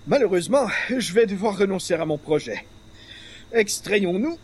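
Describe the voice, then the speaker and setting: A man's voice: high-pitched, animated, normal speed, slight background noise. An actor playing a pompous, hapless male columnist with florid, formal wording in a radio comedy. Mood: dismayed. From Quebec. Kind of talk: 1940s-style radio comedy acting.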